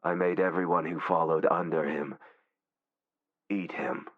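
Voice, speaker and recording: Man voicing a slow, deep, malevolent supercomputer. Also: fairly even intonation, phone-quality sound. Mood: disdainful menace. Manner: brooding, deliberate, grandiose. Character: malevolent godlike war supercomputer, contemptuous, hateful of humanity. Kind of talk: dramatic scripted villain monologue.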